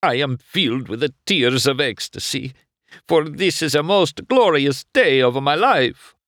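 A man voicing an excitable little Italian tire-shop car, male, with a gushing, sing-song Italian accent. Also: on the high side, measured pace, no echo, clean studio sound, voice pushed up. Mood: ecstatic. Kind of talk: storybook read-aloud.

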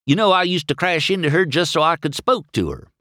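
A man's voice: fairly high pitch, animated, a little fast, a bit bright, dry recording, studio quality, voice pushed up. A man voicing a goofy, friendly male tow truck in a children's storybook, chattering in a folksy, rambling way. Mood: chatty, proud.

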